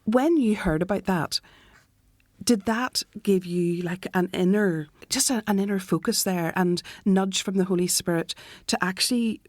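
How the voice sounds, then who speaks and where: A woman radio co-host who asks interview questions in a measured, soft-spoken way. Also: medium pitch, no echo. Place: radio studio.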